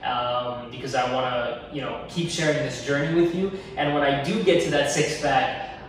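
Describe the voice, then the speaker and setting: A man's voice: mid-range voice, fairly even intonation, normal speed, a little hiss or hum. A young man hosting a fitness vlog in a home gym, talking in an earnest, encouraging way to camera. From Egypt. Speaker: fitness channel host. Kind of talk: casual fitness vlog talk. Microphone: camera at a distance. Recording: echoey room, mic at a distance.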